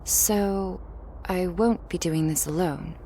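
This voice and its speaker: A woman's voice: on the low side, takes it slowly, mellow, a little hiss or hum. A woman playing a sly, taunting, thirsty vampire outsider in a scripted audio drama, teasing and smug. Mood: eager, smug.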